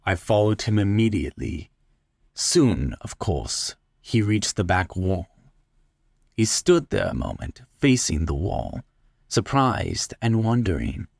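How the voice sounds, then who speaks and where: A man reading a story as dramatic scripted narration, in a measured, deliberate manner. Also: fairly low pitch, very animated, creaky, breathy edge, no room sound, studio quality. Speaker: story reader.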